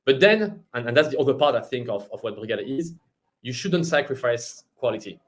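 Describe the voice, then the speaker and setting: A man, a company managing director, explaining how his product works in a webinar, in a pitching way. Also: lively intonation, fairly quick.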